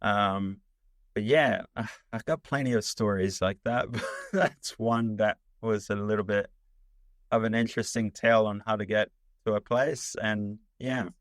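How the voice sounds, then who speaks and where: A low-voiced man from Italy, Italian his first language, recounts his adventures as a filmmaker in a loose, rambling way full of fillers and restarts. His voice is close and dry, with no room sound around it. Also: unhurried, no echo. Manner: rambling, many fillers and restarts, animated when excited. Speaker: documentary filmmaker, interview guest. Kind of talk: casual storytelling in an interview.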